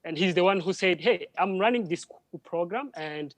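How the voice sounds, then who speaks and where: A man from DR Congo, a French speaker, speaking as a panel guest in halting English full of restarts. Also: high-pitched, no room sound. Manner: halting, with frequent restarts and repeated words. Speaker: panel guest, drone project worker. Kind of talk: live panel conversation.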